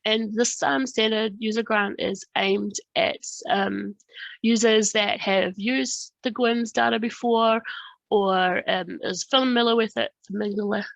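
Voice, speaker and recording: A New woman with a cold, her voice gravelly as it starts to go. She presents a workshop in a hesitant, casual way, with lots of ums, and the recording is dry and close. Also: medium pitch, steady tone, slow pace, no room sound, low-quality recording. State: has a cold, losing her voice. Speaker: funding program presenter.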